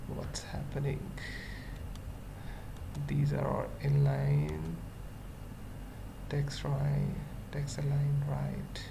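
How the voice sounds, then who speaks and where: A person thinking aloud through an unscripted coding tutorial, murmuring short halting fragments with long pauses. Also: mid-range voice, monotone, gravelly. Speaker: web developer giving a tutorial. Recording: noisy room with keyboard typing. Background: computer keyboard typing.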